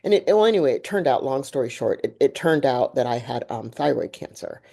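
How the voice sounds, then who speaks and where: A woman hosting a podcast with a deep voice, talking candidly and animatedly through a personal story. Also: fairly quick, gravelly. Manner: candid, animated, laughs while telling a story. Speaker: podcast host. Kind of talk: conversational interview with personal storytelling.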